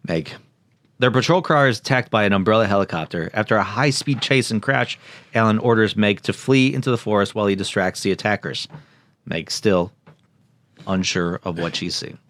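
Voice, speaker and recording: A man's voice: lowish voice, normal speed, a bit bright. A man co-hosting a podcast, casually reading a plot recap from notes and joking along. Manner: jokey, prone to laughing. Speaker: podcast co-host.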